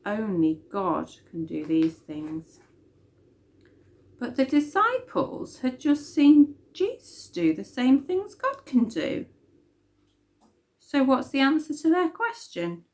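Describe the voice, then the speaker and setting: A woman's voice: high voice, very animated, takes it slowly, a little mellow. A woman reading a children's story expressively, with dramatic emphasis on key words.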